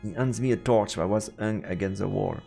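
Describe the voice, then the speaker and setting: A man solemnly narrating a fantasy game on a livestream, measured and dramatic, in big reverb over music. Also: lowish voice, vocal fry, mellow. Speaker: let's play streamer narrating. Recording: echoey, long reverb, music behind. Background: background music.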